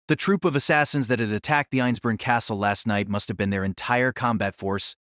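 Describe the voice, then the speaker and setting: A man reading scripted, dramatic novel narration as an audiobook narrator, in a measured, formal way. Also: mid-range voice, dry recording, studio quality.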